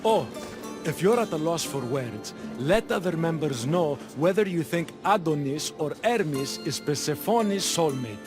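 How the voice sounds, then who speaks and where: A man's voice: on the high side, animated, unhurried. An actor playing a sociable, welcoming man hosting a gathering of devotees in a video game. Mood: genial. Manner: genial, sociable. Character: smooth, welcoming male host of a devotees' symposium. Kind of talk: video game cutscene acting. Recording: music behind the voice.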